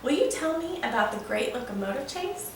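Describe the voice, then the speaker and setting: A woman's voice: sits high, big pitch swings, fairly quick. A woman voicing a curious, admiring male listener who asks eager questions in a children's storybook. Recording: echoey room, distant mic, faint noise.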